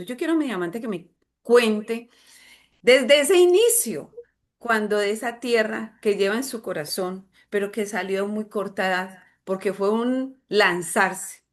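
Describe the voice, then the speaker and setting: Effusive woman host. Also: medium pitch. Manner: effusive, affectionate. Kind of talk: interview questions.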